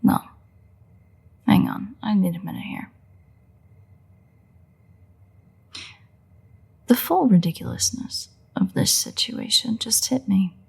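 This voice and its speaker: A woman playing a sardonic, predatory vampire in an intimate roleplay audio drama, with a husky, breathy voice and a playful, drawling delivery. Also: medium pitch, huge pitch swings, normal speed, full character voice. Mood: exasperated. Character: teasing, unrepentant female vampire, sardonic and predatory.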